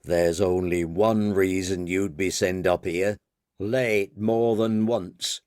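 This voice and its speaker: Man voicing a gruff, low, curt old boss. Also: fairly even intonation, slow pace, darkish tone, dry recording, clean studio sound. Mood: stern, dry. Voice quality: low, grumbling. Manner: terse, stern, grunting.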